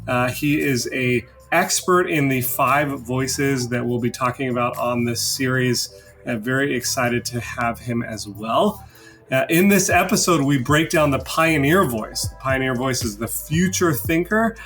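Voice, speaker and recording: A man's voice: medium pitch, measured pace, a bit bright, faint music behind. A man hosting a discussion podcast in a conversational style. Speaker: podcast host.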